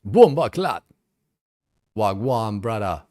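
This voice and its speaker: A man's voice: lowish voice, very animated, takes it slowly, mellow, no room sound, clean studio sound, voice pushed up. A man voicing a laid-back male troll with a put-on Jamaican-style patois, drawling and slangy. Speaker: streamer role-playing a character.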